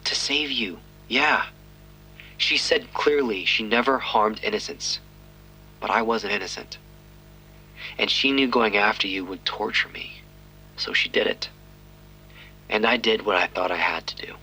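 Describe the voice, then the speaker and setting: An actor playing a guilt-ridden police detective giving a grave, terse confession in a crime audio drama. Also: male voice, lowish voice, measured pace, pauses often, bright, forceful tone, soft background music, heavily acted, low-quality recording. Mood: anguished. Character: guilt-ridden, weary but determined male police detective.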